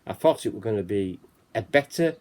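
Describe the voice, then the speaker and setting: Rambling low-voiced Yorkshire man. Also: animated, brisk, darkish tone. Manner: rambling, repeats "you know what I mean". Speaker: channel host. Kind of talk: casual chat reading reports.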